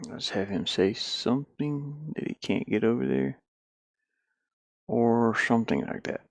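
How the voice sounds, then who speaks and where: A man casually thinking out loud in an offhand, muttering way as he works through game development on screen. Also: medium pitch, measured pace, gravelly, no room sound. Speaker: indie game developer, streamer. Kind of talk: casual think-aloud screen-share commentary while working.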